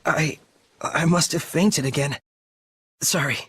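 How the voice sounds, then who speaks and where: A man's voice: a little high, takes it slowly, a bit bright, a little hiss or hum. A man acting an earnest, boyish young hero. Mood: apologetic, easygoing. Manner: polite, sincere, casual. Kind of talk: dubbed fantasy game cutscene acting. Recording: orchestral music behind the voice.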